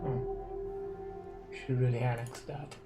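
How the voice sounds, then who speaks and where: A Romanian man, a non-native English speaker, casually commenting on a strategy game and thinking aloud with hums and pauses. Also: medium pitch, flat delivery, over loud music. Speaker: gaming commentator.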